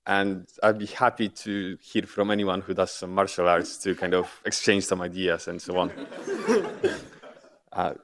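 A man calmly introducing himself to a group. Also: deep voice, measured pace. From Poland.

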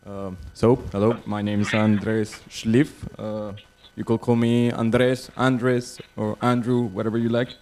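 A man's voice: on the low side, flat delivery, unhurried, darkish tone, a little hiss or hum. A man from Colombia introducing himself hesitantly and modestly. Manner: hesitant, self-effacing. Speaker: new graduate student.

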